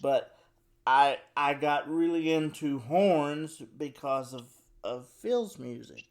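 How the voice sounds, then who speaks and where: A man's voice: a little high, slow pace. A man hosting an interview, talking eagerly in a casual way and often repeating words. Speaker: show host, interviewer.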